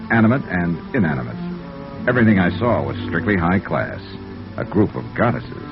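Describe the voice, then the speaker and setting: An actor playing a wry, flirtatious hardboiled insurance investigator with clipped delivery in a 1950s radio noir drama. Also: male voice, lowish voice, lo-fi sound. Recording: band-limited vintage radio recording with music behind.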